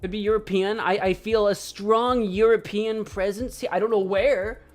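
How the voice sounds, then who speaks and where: A woman streaming a game, chatting casually, cracking jokes, laughing often and thinking out loud. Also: mid-range voice, steady tone, moderate pace, faint music behind. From United States. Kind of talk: casual live-stream commentary.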